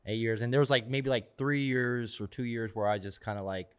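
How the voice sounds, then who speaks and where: A man's voice: medium pitch, smooth. A man in his thirties, raised in Florida, telling stories as a podcast guest in a rambling way, full of fillers and swearing. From Florida, born in Venezuela. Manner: rapid, rambling, heavy on "like" and swearing. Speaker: guest, tattoo artist. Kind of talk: casual storytelling.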